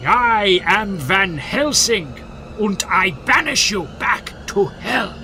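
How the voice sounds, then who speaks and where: An older gentleman vampire hunter, acted by a man, courtly and stern in a theatrical audio drama. He speaks slowly and grandly in a fairly high male voice that swings expressively, over music. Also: lively intonation, vocal fry, full character voice. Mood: commanding. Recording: music under the dialogue.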